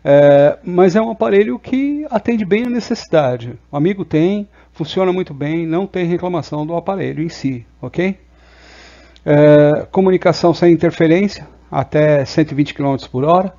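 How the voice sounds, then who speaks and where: A man's voice: fairly high pitch, normal speed, dark tone, lo-fi sound. A Brazilian man presenting a casual product review in an explanatory way, often checking in with short tag questions.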